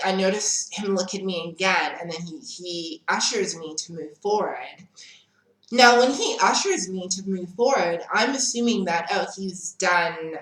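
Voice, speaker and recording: A young woman with a South African accent recounts a story in a laid-back storytime vlog. Her voice sits a little low and she talks slowly and chattily, staying frank and unbothered. The sound bounces around an echoey room. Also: pushed and bright. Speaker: vlogger.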